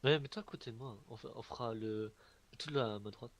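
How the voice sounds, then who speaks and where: A man's voice: medium pitch, a little fast. A man playing an online game, chatting casually and slipping into improvised roleplay.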